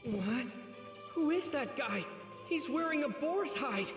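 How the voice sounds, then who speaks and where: A man's voice: extremely high pitch, animated, slow, roomy sound, music in the background, heavily acted. An actor playing an earnest, protective teenage demon slayer boy in an anime game, speaking with resolve and reassurance. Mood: alert wonder. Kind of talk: dubbed anime game acting.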